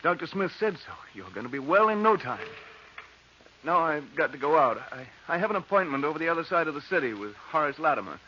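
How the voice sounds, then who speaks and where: An actor in a 1940s radio drama playing a desperate, pleading locksmith with an agitated, halting delivery. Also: male voice, a little high, big pitch swings, sharp tone. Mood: evasive, reassuring. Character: desperate working-class locksmith pleading for money, loving husband. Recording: dull, hissy old radio recording.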